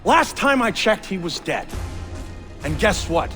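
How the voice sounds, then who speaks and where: An actor playing a smug, ruthless rogue agency boss who taunts with drawn-out emphasis in a dramatic video game. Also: male voice, high-pitched, sing-song, sharp tone, soft background music, heavily acted. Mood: smug, menacing.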